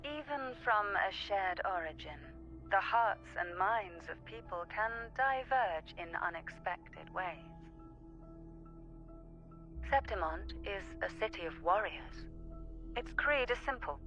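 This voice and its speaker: A woman's voice: medium pitch, animated, bright, forceful tone, dull audio, soft background music, exaggerated voice. An actress playing an elegant, composed noblewoman in a video game, speaking formally and graciously through a call filter. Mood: composed, explanatory. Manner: formal, poised, measured speech. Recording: thin band-limited call sound.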